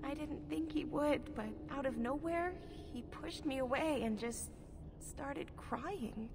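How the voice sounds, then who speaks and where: A woman's voice: sits high, slow, noisy background. A woman voicing a frightened, naive young elf in a video game, speaking in a fragile, pleading way. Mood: distressed, pleading.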